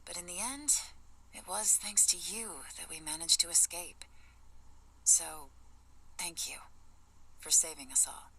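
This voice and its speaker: A cool, self-assured female adventurer for a video game. Her voice sits low with a bright, forceful edge. She speaks in measured, matter-of-fact phrases over faint background noise. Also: creaky, full character voice. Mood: sincere, resigned.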